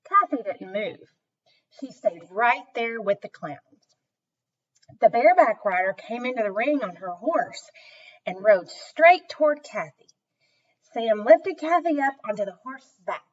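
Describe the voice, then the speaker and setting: A woman tells a children's story at an unhurried pace, her fairly high voice swooping expressively. The recording is dull and a little distant from the microphone. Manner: slow, animated, deliberate emphasis.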